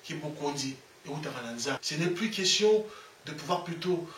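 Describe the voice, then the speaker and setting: A Congolese man with a Congolese French accent delivers a fervent, preachy monologue, hammering his points home by repeating them. His voice sits high for a man. He sounds set back from the mic in a room full of echo. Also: lively intonation, normal speed, fairly bright tone, far from the mic, a little hiss or hum. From Republic of the Congo. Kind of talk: impassioned monologue. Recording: echoey room, away from the mic.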